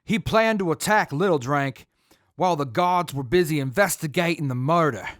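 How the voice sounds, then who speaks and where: A man playing an oddly forthcoming former slave prisoner in a post-apocalyptic radio drama, with deliberate, theatrical delivery. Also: a little high, a bit bright, no room sound, studio quality, lightly acted. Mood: eager, confessional.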